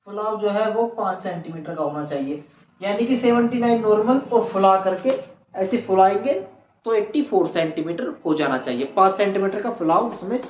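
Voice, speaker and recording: A channel host giving an explainer on exam procedure, repeating key points for emphasis. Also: mid-range voice. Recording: echoey room, dull lo-fi, faint noise.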